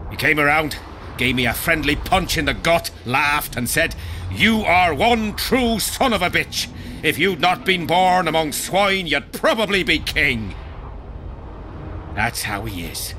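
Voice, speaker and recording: An actor playing a proud, rough army veteran in a fantasy video game, speaking in a blunt, rustic soldierly manner. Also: male voice, fairly high pitch, animated, measured pace, bright, forceful tone, slight background noise. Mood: proud, fond. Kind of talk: video game dialogue acting.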